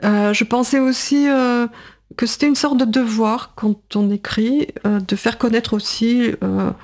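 A woman in her sixties talks as a guest in a radio studio interview. She is thoughtful and hesitant, often pausing on fillers and starting her sentences again. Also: a little high, fairly even intonation, a little mellow. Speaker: guest, translator and writer.

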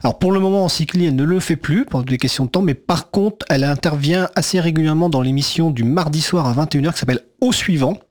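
A man's voice: fairly high pitch, fast talker, few pauses, a little mellow. A man co-hosts a radio show and works the studio console. He talks loosely and casually, repeating words and leaning on fillers. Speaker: radio host and studio technician.

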